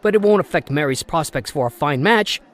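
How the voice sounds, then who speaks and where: A man's voice: on the high side, lively intonation, fairly quick, fairly bright tone. An actor playing a blustering, dismissive father who scoffs and speaks brusquely, in an old-time radio drama.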